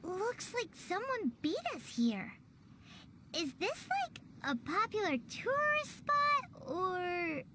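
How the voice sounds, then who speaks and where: A woman voicing a tiny, cheeky, childlike fairy companion in a video game, with a squeaky, exclamatory delivery. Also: very animated, takes it slowly, noisy background, heavily acted. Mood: curious, puzzled. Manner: refers to self in third person, exclamatory.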